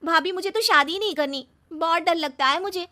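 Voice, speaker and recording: Casting: woman narrator as a scared young bride in a cartoon tale, very high, meek, pleading. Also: brisk, bright, forceful tone. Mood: worried, fearful. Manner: meek, earnest. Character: timid, frightened young bride, pleading and anxious.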